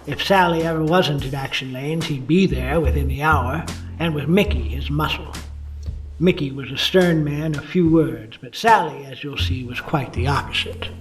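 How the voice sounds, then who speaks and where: Man as cynical noir detective, slightly high-voiced. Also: moderate pace, music in the background, lightly acted, rough recording. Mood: wry narrating. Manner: curt, sardonic, slangy. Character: world-weary, gruff, cynical male 1950s police detective. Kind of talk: noir radio drama dialogue and narration.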